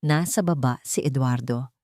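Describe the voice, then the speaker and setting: A woman's voice: a bass voice, moderate pace, a little mellow, no room sound, studio quality. A woman with a Filipino accent narrating a dramatic scripted story. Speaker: narrator of love stories.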